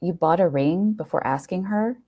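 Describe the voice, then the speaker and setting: A woman's voice: on the low side, moderate pace, dark tone, breathy edge. A woman voicing a jealous, guarded young man in a story, sardonic and clipped. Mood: sceptical, sardonic. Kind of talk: storybook character dialogue.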